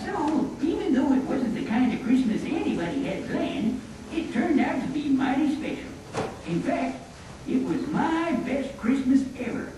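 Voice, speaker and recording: A woman's voice: high-pitched, lively intonation, a little slow, noisy background. A woman voicing a kindly, folksy cowboy telling a story in a scripted puppet show. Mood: nostalgic. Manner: folksy western wording, kindly. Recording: echoey room, distant, music behind.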